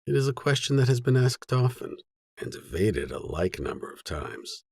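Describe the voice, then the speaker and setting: A man reading a literary story as an audiobook narrator, in a measured, sober storytelling manner. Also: mid-range voice, very animated, vocal fry, darkish tone, slightly rough, no room sound.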